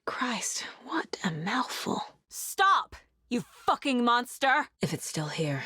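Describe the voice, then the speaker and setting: A female fed investigator for a game, husky lower voice, focused n understated, super dry studio sound. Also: sing-song, slow pace, bright, forceful tone, no echo, full character voice. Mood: hushed, then urgent, alarmed. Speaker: voice actress in a video game. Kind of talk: video game character voice lines.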